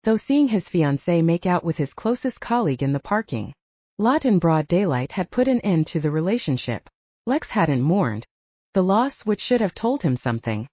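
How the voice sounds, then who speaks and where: Female audiobook narrator, lowish voice with expressive swings, husky, close and slightly muffled. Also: no echo. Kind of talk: scripted fiction narration.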